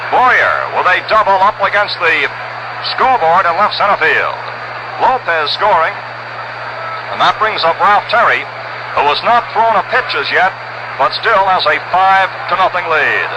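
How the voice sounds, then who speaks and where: An American man calling baseball play-by-play in a measured, descriptive 1960s radio style, heard on an old broadcast recording. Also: sits high, animated, lo-fi sound, background noise. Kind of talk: 1960s radio sports commentary.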